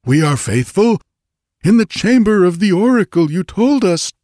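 A man reading an audiobook, voicing a frightened male high priest who stammers and pleads. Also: very animated, moderate pace, warm tone, dry recording, clean studio sound. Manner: pleading, panicked. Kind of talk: audiobook character voice.

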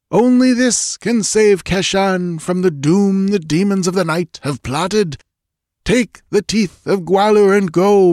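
A commanding goddess proclaiming doom from on high in a raised, strained voice with an unnatural timbre. Her words come slow and heavy, each one a decree. The recording is dry and close. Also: no room sound. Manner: slow, solemn, pronouncing doom. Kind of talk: audiobook character voice.

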